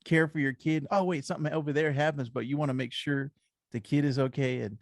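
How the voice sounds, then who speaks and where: A man's voice: fairly high pitch, steady tone, brisk, no room sound, studio quality. A man with an American accent chatting in an easygoing, casual way as a podcast co-host. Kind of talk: casual chat.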